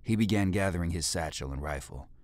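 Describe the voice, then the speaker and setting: A man's voice: deep voice, fairly quick, darkish tone, dry recording, clean studio sound. A man narrating fiction in a dramatic scripted audiobook style.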